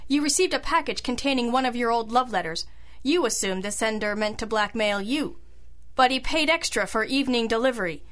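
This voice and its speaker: An actress playing a composed, sharp female detective in an old-style radio drama, matter-of-fact, knowing and wry. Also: on the high side, brisk, natural voice. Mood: calm, firm.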